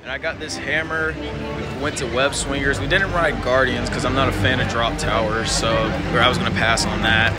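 Young man, raspy tired voice, rambling phone vlog, outdoors at night. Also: medium pitch, fairly even intonation, normal speed, loud music behind the voice. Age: young adult. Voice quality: raspy, worn-out voice. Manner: chatty, rambling, laughs often. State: exhausted. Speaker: vlogger. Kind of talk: casual vlog walkthrough. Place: outdoor theme park and shopping area at night, rainy. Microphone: phone held at arm's length.

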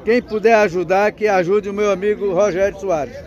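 High-voiced man at a fair. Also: steady tone, normal speed, a little mellow. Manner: chatty, calls out greetings and prices. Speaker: vlogger filming a cattle fair. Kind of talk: casual walk-around commentary. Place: open-air cattle market, outdoors. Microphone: phone camera held by the speaker. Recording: outdoor crowd noise. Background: crowd chatter and cattle.

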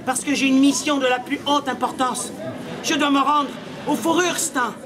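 A man's voice: very high-pitched, steady tone, normal speed. A man voicing a solemn little bug puppet hero in a live street show, with a grandly tragic delivery. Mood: earnest, grave. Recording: outdoor street recording, distant, crowd around.

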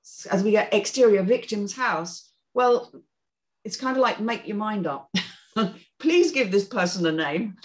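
A woman's voice: medium pitch, lively intonation, a little slow. A middle-aged woman giving an informal spoken critique as panel host, direct, emphatic and explanatory, on a video call from home. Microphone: video call computer microphone.

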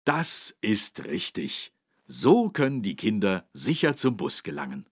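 Deep, animated friendly policeman. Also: measured pace, full character voice. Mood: instructive, patient. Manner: instructive, courteous, clearly enunciated. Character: friendly adult male policeman explaining traffic rules to children. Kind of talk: children's learning game voice acting.

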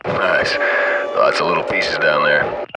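A man's voice: a bass voice, fairly even intonation, takes it slowly. An actor playing a laid-back, cocky military gunship crewman, chatting casually over the radio in a video game. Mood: gleeful, cocky. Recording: distant military radio, loud aircraft cabin noise.

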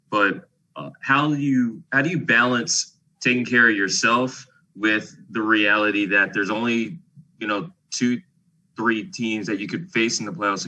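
A man's voice: on the low side, sharp tone, far from the mic, rough recording. A man, a sports reporter putting a question at a press conference.

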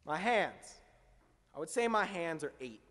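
A man's voice: fairly high pitch, sing-song, a little slow, fairly bright tone, big-room sound. A young man performing spoken word poetry on a small stage, speaking into a stand mic with rhythmic, emphatic delivery. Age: young adult. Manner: rhythmic, emphatic delivery with sweeping hand gestures. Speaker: slam poet. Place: small indoor stage in front of a window. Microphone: stand mic at mouth height.

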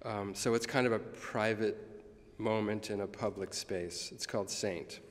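A man in his sixties giving a poetry reading in a measured, solemn delivery. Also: on the low side, fairly even intonation. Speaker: poet. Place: large gallery hall, before seated audience. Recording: long hall reverb from podium.

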